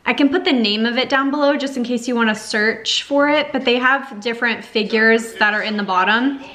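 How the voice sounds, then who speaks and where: A woman's voice: fairly high pitch, a little fast, fairly bright tone. A woman in her twenties, chatting casually to the camera as a lifestyle vlogger, upbeat and full of "like" fillers. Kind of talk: casual vlog chat to camera. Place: home kitchen, then parked car.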